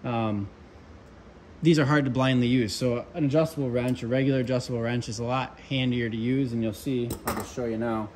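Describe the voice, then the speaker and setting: A young man, an HVAC controls tech, chatting casually through his tool bag at a workbench, relaxed with lots of ums and asides. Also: medium pitch, a little fast, a little hiss or hum. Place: workshop with pegboard and workbench.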